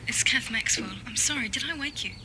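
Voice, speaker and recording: A woman's voice: on the high side, fairly quick, close to an everyday voice. A woman playing a shaken woman, near whisper, old tv hiss. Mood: distressed. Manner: hushed, faltering. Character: distressed, hesitant woman calling for help.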